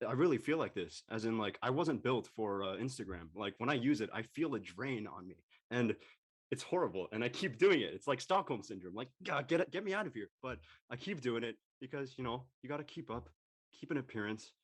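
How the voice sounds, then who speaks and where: A male high school student chatting casually as a young actor, tentative and thinking aloud. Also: lively intonation, a little fast, breathy edge. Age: teens, high school student. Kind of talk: casual chat.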